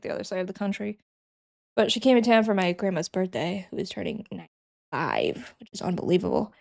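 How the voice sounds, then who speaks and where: A young woman streaming, a little sick and sniffly, with a gravelly edge of fry in her voice. She chats loosely with her viewers, reads their messages aloud and mutters to herself as she works. Her pitch sits in a middling range. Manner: chatty, reads chat aloud and thinks aloud while working. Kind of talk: casual live-stream chat.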